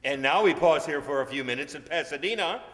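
High-voiced, officious tour bus driver played by a man. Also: lively intonation, fast talker, fairly bright tone. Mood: announcing. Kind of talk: old-time radio comedy acting on stage. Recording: echoey hall stage recording.

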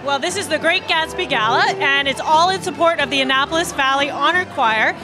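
A woman organizer answers questions into a handheld mic at a busy gala. Her voice sits high and clear. Band music plays behind her. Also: a little fast, low-quality recording. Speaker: event organizer. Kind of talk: interview answers. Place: large community hall at a gala. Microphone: interviewer's handheld mic.

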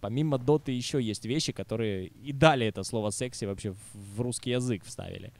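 A man's voice: medium pitch, fast talker, vocal fry, darkish tone, no echo. A man commentating a video game match live, with casual, sardonic asides to viewers. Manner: wry, sardonic. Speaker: esports commentator.

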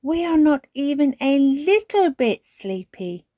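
Woman playing a high, excitable little girl pig. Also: slow pace, no room sound, clean studio sound, heavily acted. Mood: eager, excited. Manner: bouncy, insistent, exclaiming. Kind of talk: children's storybook character voice.

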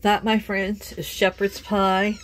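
A woman's voice: medium pitch, level tone, slow, slight background noise. A woman from Canada hosting a casual home cooking vlog in her kitchen, chatting to viewers and laughing often. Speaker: home cook, channel host.

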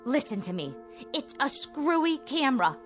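Very high-pitched, brassy crook's wife. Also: female voice, very animated, moderate pace, music in the background. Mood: scornful, needling. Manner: slangy, needling, brassy. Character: brassy crook's wife Paula, sharp-tongued, scornful, easily frightened. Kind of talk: radio drama acting.

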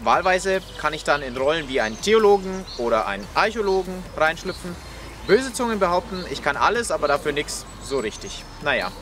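High, animated, jokey man. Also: brisk, slight background noise. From Bavaria. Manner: self-mocking, jokey. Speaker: video crew member. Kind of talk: casual self-introduction to camera.

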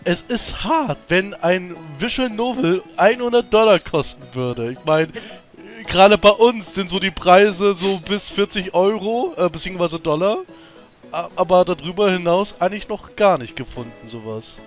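High-voiced man commenting casually. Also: expressive, moderate pace. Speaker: let's play commentator. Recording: music playing behind the voice.

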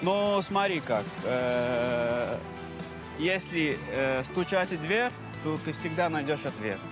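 A man's voice: fairly high pitch, animated, slow, over music. Syrian man, friendly non-native speech. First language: Arabic. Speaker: dental resident. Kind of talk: casual interview. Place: outdoors on a campus street.

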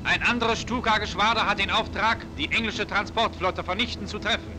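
A man's voice: high-pitched, steady tone, fairly quick, noisy background. A man narrating a scripted 1940 wartime propaganda newsreel in an emphatic, declamatory, clipped military manner. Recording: vintage 1940s newsreel film soundtrack.

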